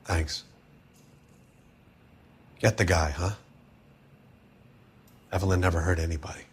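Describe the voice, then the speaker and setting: A man's voice: sits low, steady tone, slow, slight background noise. An actor playing a downbeat, world-weary man in a 1940s crime game, talking plainly and with restraint. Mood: wistful, subdued. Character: downbeat, world-weary male friend of a murdered woman.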